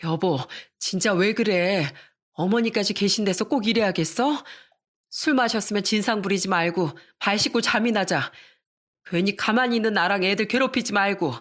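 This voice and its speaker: A woman's voice: mid-range voice, moderate pace, a bit bright, natural voice. A woman doing a fed-up young wife. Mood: reproachful. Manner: fed-up, reproachful. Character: exasperated young wife and mother.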